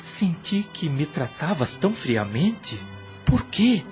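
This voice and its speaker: A man's voice: fairly high pitch, sing-song, slow, a little mellow, faint music behind. An actor playing an emotive young man in love in an audio drama, with a Brazilian accent and formal old-fashioned phrasing. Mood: hurt, reproachful. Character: wounded, reproachful young man in love, former priest. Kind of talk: radio drama acting.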